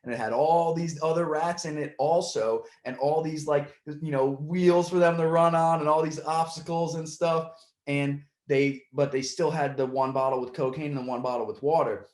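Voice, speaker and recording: A man's voice: fairly high pitch, steady tone, normal speed, a little mellow, reverberant. A man hosting a podcast, talking casually in long rambling stretches with many restarts, and getting worked up when he retells a story. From Puerto Rican family side. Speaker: podcast host.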